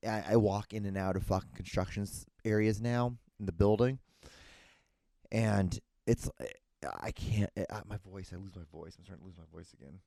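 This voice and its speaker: A man's voice: on the low side, steady tone, moderate pace, creaky, mellow, no room sound, clean studio sound. A man hosting a casual solo podcast, rambling crudely and tripping over his words as he reads posts aloud. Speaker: podcast host.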